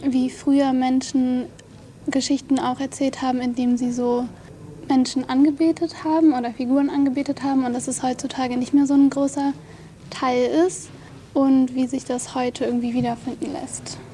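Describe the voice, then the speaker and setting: A woman in her twenties, a festival intern, answering radio interview questions in a soft, reflective way. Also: high-pitched, level tone, moderate pace, a little hiss or hum. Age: 20s.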